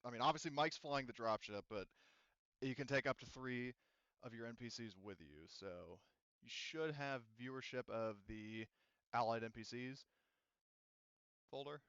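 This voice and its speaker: A man's voice: mid-range voice, unhurried, pauses often, fairly bright tone, smooth, dry recording, highs cut off. A man running a tabletop game as game master in casual chat, rambling and correcting himself as he goes.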